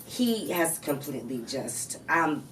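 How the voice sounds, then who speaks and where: A woman's voice: low-pitched, sing-song, takes it slowly. A woman singer being interviewed on a podcast, talking frankly and teasing playfully. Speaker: singer in a group. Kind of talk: casual interview. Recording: distant mic with faint noise.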